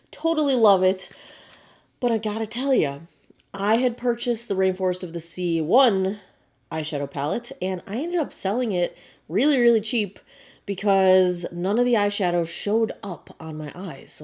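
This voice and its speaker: A young woman with a hoarse, croaky voice, chatting casually as she unboxes beauty products to camera. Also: on the low side, a little mellow. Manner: chatty, bubbly, quick asides. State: hoarse, frog in throat. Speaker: beauty vlogger.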